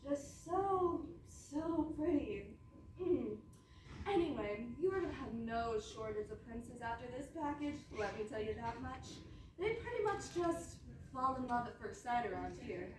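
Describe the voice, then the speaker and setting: A woman plays a high-pitched stage princess who gushes theatrically, with possessive menace under the sugar. Her delivery swings widely, heard from afar in a very echoey room. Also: expressive. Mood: gushing. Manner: theatrical, drawn-out gushing. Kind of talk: comic stage acting. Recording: very echoey hall, far mic, noisy.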